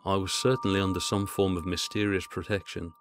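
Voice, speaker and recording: A man's voice: low voice, little pitch movement, a little fast, faint music behind. A man reading a literary story aloud as scripted narration. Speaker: audiobook reader.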